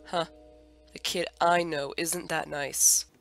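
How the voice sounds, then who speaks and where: A tired male skeleton voiced by an actor, dry and casual with a quietly bitter edge. The delivery is hesitant comic-dub acting, set over music. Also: mid-range voice, steady tone, slow pace, creaky. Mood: puzzled.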